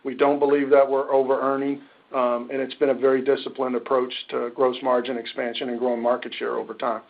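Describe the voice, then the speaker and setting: Measured executive man on a muffled call. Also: mid-range voice, little pitch movement, creaky. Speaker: company finance executive on an earnings call. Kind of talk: answering analysts' questions on a conference call. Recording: muffled conference-call audio.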